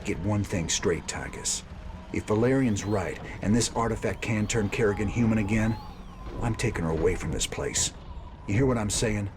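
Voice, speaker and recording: An actor playing an earnest, weary but determined rebel commander in a video game. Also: male voice, lowish voice, normal speed, background noise, lightly acted. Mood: stern.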